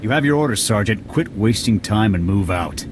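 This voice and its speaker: Casting: male actor for impatient operations officer in a military video game, low-pitched, commanding, scornful. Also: expressive, moderate pace, background noise, lightly acted.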